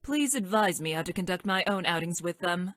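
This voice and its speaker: A female scientist from the future for a video game. Her voice sits on the low side and is recorded dry and close. She speaks formally and technically, with a detached, deadpan manner. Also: fairly quick, dry recording. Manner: stilted, formal, technical speech with deadpan delivery. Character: stranded female time-traveling scientist from the future, clinical, detached. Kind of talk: scripted video game character lines.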